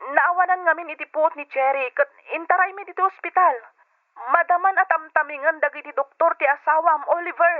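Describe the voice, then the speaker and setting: A woman's voice: extremely high pitch, steady tone, a little fast, no echo. A woman playing an urgent friend on the telephone in a radio drama, her voice filtered. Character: urgent female friend phoning with bad news. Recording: tinny, dry telephone line.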